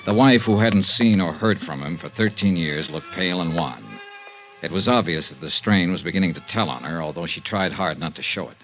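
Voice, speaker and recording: A man's voice: sits low, brisk, fairly bright tone, faint music behind. An American actor playing a blunt, world-weary male insurance investigator in a 1950s radio drama, both narrating and speaking in scenes. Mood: wry narration. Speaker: lead actor and narrator of a radio detective serial. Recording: dull band-limited 1950s radio recording.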